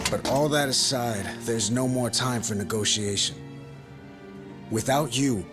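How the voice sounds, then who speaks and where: An actor playing a steady, pragmatic leader in a video game, earnest and firm, in clipped phrases. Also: male voice, lowish voice. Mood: firm, urging. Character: steady, pragmatic male leader briefing his allies. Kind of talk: dramatic video game cutscene acting.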